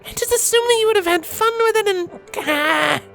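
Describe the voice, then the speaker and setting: A man voicing an eager, caring young female pony from a cartoon world, earnest and quick to apologise, with music behind. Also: very high-pitched, animated, gravelly, pushed and bright, voice pushed up, heavily acted. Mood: worried, apologetic.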